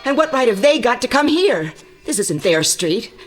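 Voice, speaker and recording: A woman's voice: on the high side, sing-song, moderate pace, faint music behind. An actress playing an indignant suburban woman hostile to outsiders in a radio drama.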